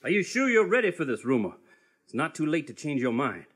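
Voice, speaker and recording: An actor playing a coaxing, self-important swindler with a husky voice in a comedy radio play. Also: male voice, on the high side, very animated, brisk, fairly bright tone, heavily acted. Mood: coaxing, smug. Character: smooth-talking male swindler, coaxing, shifty and self-important. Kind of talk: live comedy radio theatre acting.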